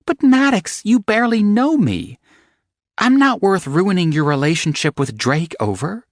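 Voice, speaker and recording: Man doing a tearful young woman, high and strained, pleading, dry close sound. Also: sing-song, moderate pace, dry recording, heavily acted. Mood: disbelieving.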